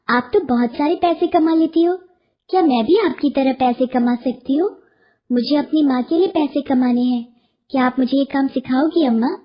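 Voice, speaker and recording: Woman voicing an innocent high-pitched little girl. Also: mellow, close to an everyday voice. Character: innocent, eager little girl, honest and devoted. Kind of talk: animated moral story dialogue.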